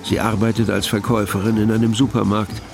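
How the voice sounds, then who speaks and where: Low, creaky male narrator over music. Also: level tone, quick pace. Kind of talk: scripted literary audiobook narration.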